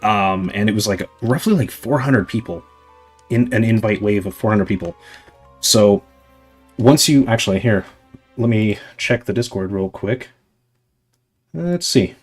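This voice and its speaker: A young man on a livestream, his fairly low voice husky and a little hoarse from hours of talking. He rambles casually through viewers' questions, padding with fillers. Faint music plays underneath. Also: measured pace, pauses often. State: slightly hoarse from long streaming. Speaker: livestreamer answering chat.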